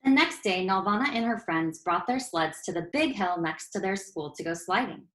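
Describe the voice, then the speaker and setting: A woman reading a children's picture book aloud as a storyteller, expressive and clearly phrased. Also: medium pitch, normal speed.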